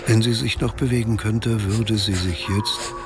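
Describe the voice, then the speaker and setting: An actor playing a grave, detached storyteller, narrating a radio drama in a measured, sombre way. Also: male voice, lowish voice, steady tone, over music.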